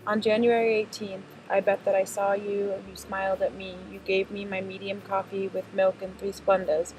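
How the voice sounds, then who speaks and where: A woman narrating a documentary, calmly stating her assumptions about the people she films. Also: mid-range voice, normal speed, faint music behind, rough recording. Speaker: interviewer voicing her assumptions.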